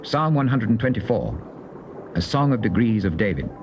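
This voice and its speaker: A man's voice: on the low side, very animated, normal speed, over music. A man acting as a formal announcer in a dramatized scripture recording. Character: formal announcer of sacred psalms. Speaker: announcer of psalm titles. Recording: dull old cassette tape.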